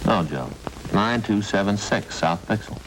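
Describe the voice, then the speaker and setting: An actor playing an easygoing, chatty police detective in an old-time radio drama. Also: male voice, fairly low pitch, a little slow, lightly acted. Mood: helpful, matter-of-fact. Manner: casual, chatty. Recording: very noisy old radio recording.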